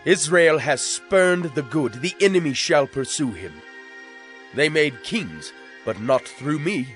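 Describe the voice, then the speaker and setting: Wrathful God for dramatized scripture: fairly high male voice, grave and declamatory, background music. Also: animated, fairly bright tone. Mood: stern judgment. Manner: solemn, declamatory, deliberate phrasing. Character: wronged, wrathful male God pronouncing judgment through prophecy. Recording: music bed under the voice.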